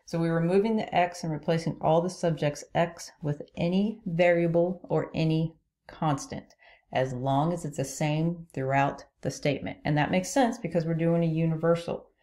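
A woman's voice: sits low, normal speed, warm tone. A woman teaching a logic lesson as a voice-over on slides, explaining each rule step by step and checking in with "okay?". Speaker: logic instructor. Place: voice-over on screen recording.

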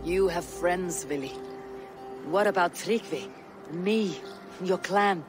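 Husky, slow-spoken Viking warrior woman. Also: on the low side, music in the background. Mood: firm, caring. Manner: solemn, deliberate. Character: steady, loyal female Viking warrior. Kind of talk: video game cutscene acting.

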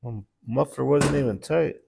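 A man's voice: medium pitch, normal speed, creaky, background noise. A middle-aged man, a small engine mechanic, talking casually and plainly while taking apart an engine in his repair shop. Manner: plainspoken, folksy shop talk. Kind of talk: casual hands-on repair walkthrough.

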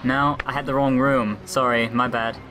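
A woman's voice: rumbling low, steady tone, moderate pace. A woman voicing a flippant, self-absorbed young heroine in an improvised comedy dub. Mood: nonchalant. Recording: music under the dialogue.

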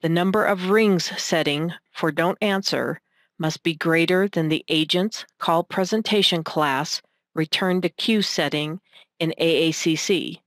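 Low-voiced woman narrating a software tutorial. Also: no echo, clean studio sound. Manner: measured, instructional. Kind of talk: scripted narration of a technical demonstration.

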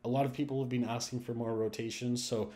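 A man's voice: mid-range voice, flat delivery, fast, dark tone. A man hosting a gaming video, giving casual update commentary and thinking aloud as he speculates.

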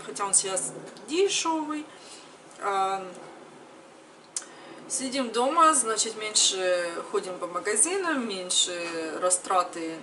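A woman in her thirties chatting casually to camera as a vlogger, conversational and retelling everyday exchanges. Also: mid-range voice. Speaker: vlogger, mother. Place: home kitchen, later another room indoors. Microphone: camera a little distance away. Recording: noisy room, distant camera microphone.

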